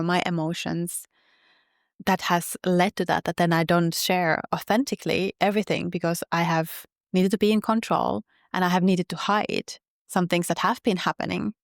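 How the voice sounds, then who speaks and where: A woman with a Finnish accent, a podcast guest speaking in an earnest, measured way. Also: lowish voice, no echo, clean studio sound. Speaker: podcast guest, health coach. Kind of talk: reflective interview talk.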